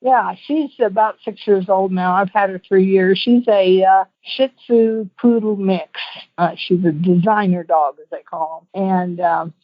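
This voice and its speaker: Female call-in guest, medium pitch, casual and meandering testimonial delivery, narrow tinny telephone sound. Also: fairly even intonation, measured pace, no echo. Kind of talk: casual rambling personal testimonial. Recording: tinny narrow phone line.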